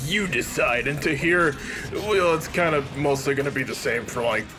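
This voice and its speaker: A man's voice: a little high, normal speed. A man giving casual game commentary as a co-commentator on a let's play. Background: game music.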